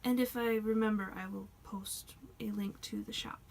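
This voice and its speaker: A woman's voice: medium pitch, fairly even intonation, normal speed, gravelly, a little hiss or hum. A woman chatting casually in a vlog, rambling and self-conscious, her voice a bit worn as she is losing it. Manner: rambling, self-conscious, soft-spoken with laughs. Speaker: doll hobby vlogger.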